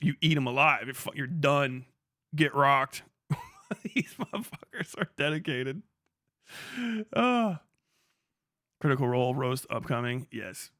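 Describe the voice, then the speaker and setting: A man's voice: medium pitch, pushed and bright, dry recording, studio quality. A young man casually chatting on a livestream, reading chat aloud, swearing freely and laughing often as he rambles.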